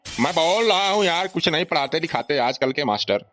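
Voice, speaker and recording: A man's voice: on the high side, animated, a little fast, fairly bright tone, soft background music. A man voicing a stubborn, boastful old village uncle in a comic cartoon, argumentative and colloquial. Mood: boastful, mocking.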